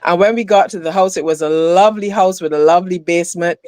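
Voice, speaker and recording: A woman's voice: lowish voice, fast talker, a little mellow, no room sound, studio quality. A middle-aged woman from Barbados, giving thoughtful, slightly hesitant conversational answers on a video call. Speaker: diaspora guest. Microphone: video call, device close in front.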